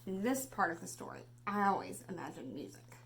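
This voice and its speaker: A woman reading a children's story aloud, dramatically moving between hushed and raised delivery. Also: on the low side, sing-song, brisk, soft background music. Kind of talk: expressive children's read-aloud. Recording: noticeable background noise.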